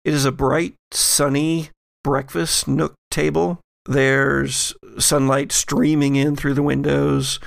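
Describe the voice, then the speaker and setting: A man narrating a tabletop horror game as game master, in a halting, thoughtful way with pauses. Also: mid-range voice, level tone, creaky, dry recording, studio quality.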